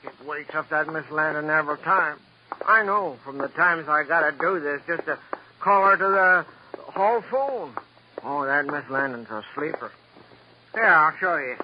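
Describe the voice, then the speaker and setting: A man acts out a nosy, griping rooming-house caretaker in a vintage radio crime play. He is coarse and slangy, fairly high in pitch, on a dull recording with faint hiss. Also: moderate pace, lightly acted. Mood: grumbling. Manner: griping, slangy, loud calling. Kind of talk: 1950s radio crime drama acting. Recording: muffled, hissy old radio recording.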